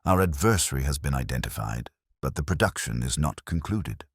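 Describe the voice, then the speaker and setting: Man playing erudite, commanding detective for audiobook: very deep, pompous, formal, deliberate phrasing with wide swings. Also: lively intonation, breathy edge, dry recording, studio quality, heavily acted. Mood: measured, authoritative. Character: ponderous, commanding, erudite male genius detective.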